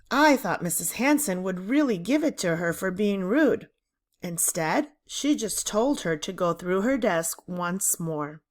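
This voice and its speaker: Female narrator for children's chapter book. Unhurried delivery, highly varied intonation, expressive storyteller. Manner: deliberate, animated storytelling. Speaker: read-aloud narrator.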